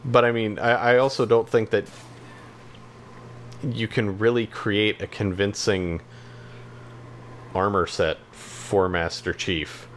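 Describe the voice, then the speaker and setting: A man co-hosting a podcast in casual chat, talking in a hesitant, halting way. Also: lowish voice, steady tone, a little hiss or hum. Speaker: podcast co-host.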